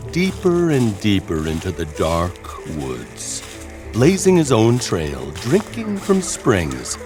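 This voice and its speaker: A man narrating a scripted horror story in a dramatic, deliberate storytelling manner. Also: lowish voice. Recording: music bed under the voice. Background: music.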